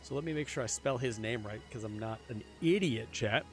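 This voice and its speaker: A young man streaming a game, chatting casually with his viewers, his voice a little hoarse. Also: medium pitch, fairly quick, faint music behind. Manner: chatty, answers chat, repeats phrases. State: slightly hoarse. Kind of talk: casual live-stream chat while gaming.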